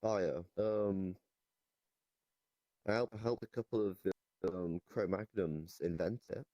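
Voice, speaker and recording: A young man with a very deep, somewhat mellow voice improvises comedy in casual chat. He speaks deadpan and hesitantly, and the sound is very dry, with no room echo. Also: slow, dull audio. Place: online voice chat in a virtual reality game.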